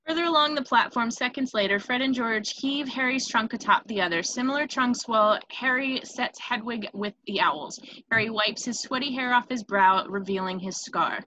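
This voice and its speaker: Woman narrator, scripted stage directions, bright tone, highish pitch, some background noise. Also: normal speed, hardly any pauses, low-quality recording. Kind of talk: scripted narration at a table read. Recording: noticeable background noise on home mic.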